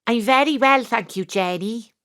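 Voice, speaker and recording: A woman playing a brisk, tongue-in-cheek private detective with a Welsh accent, acting and narrating in a comic audio drama. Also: fairly high pitch, a bit bright, no echo, clean studio sound. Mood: brisk, flirty.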